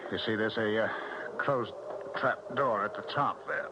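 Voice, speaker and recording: An actor playing a grave small-town doctor who tells his own ghost story in an old-time radio drama, solemn and deliberate in his phrasing. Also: fairly low pitch, muffled recording, noisy background. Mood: wary. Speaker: actor, lead and narrator. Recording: old radio broadcast with loud music. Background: loud dramatic music.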